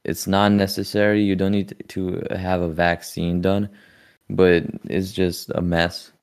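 A man's voice: low-pitched, flat delivery, a little slow, smooth. A man hosting a podcast, asking questions in a laid-back, chatty way with casual slang. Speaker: podcast host.

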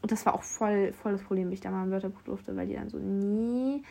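Young woman from Germany, chatty storyteller, student, lively quoting of others, mid-pitched voice, casual and talkative. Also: fast talker, gravelly. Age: young adult. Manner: chatty, animated quoting of others, frequent fillers. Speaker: university student abroad.

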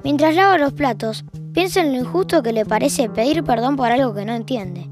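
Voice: sits high, expressive, brisk. A young-sounding performer with an Argentine accent, narrating a scripted audio drama as a wry storyteller. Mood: measured, wry. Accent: Argentine Rioplatense. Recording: music behind the narration.

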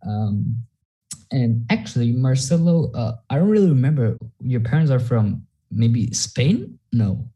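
A young man from Mexico hosting a podcast in casual chat, halting and leaning on "actually" and fillers. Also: medium pitch, very animated, warm tone, breathy edge, lo-fi sound. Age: young adult. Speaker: podcast host.

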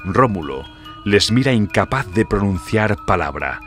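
A man's voice: fairly low pitch, very animated, unhurried, a bit bright. A man narrating a horror audiobook in a dramatic, scripted storytelling style. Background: music.

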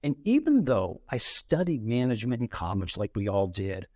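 A middle-aged man, a podcast guest, telling career stories in an animated way and acting out quoted dialogue. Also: on the low side, normal speed, dry recording, clean studio sound. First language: bilingual, Brazilian parents. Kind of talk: conversational interview storytelling.

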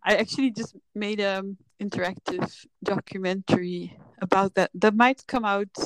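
A woman's voice: medium pitch, steady tone, moderate pace, clear, resonant tone, no echo, rough recording. A woman in her thirties from the Netherlands, a filmmaker guest telling her story candidly and reflectively in a casual podcast chat.

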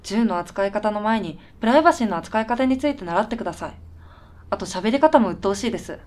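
A woman's voice: medium pitch, lively intonation, brisk, darkish tone, natural voice. An actress playing a polite, puzzled and tired woman with a dry, blunt streak in a radio drama. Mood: irritated, blunt. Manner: polite, dry, matter-of-fact. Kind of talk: Japanese radio drama dialogue.

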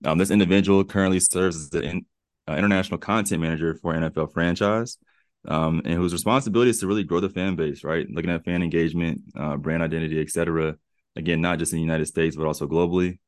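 Deep, fast-talking podcast host. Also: male voice, no echo. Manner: energetic, frequent fillers and "y'all". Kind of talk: casual podcast interview.

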